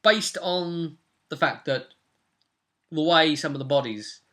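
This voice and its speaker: A man's voice: a little high, slow pace, bright, forceful tone. A young man casually recapping a tabletop role-playing session as game master. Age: young adult. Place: small furnished room at home.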